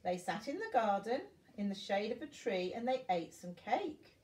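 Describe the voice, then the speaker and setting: A woman's voice: medium pitch, sing-song, moderate pace, a little mellow, a little hiss or hum. An older woman reading a storybook aloud to young children, expressively, like a grandmother at bedtime, with little asides to her listeners. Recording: roomy echo, distant from the mic.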